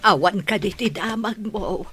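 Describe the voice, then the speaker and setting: A woman's voice: mid-range voice, unhurried, close to an everyday voice. A woman with an Ilocano accent acting a sorrowful, anxious grandmother in a radio drama.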